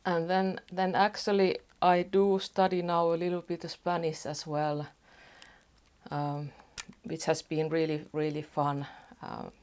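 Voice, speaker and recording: Finnish woman doing a get ready with me at home, low voice, talks slow and soft, kinda rambly. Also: flat delivery. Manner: soft-spoken, unhurried, rambling with small restarts. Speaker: beauty vlogger. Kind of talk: casual get-ready-with-me chat. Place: home apartment.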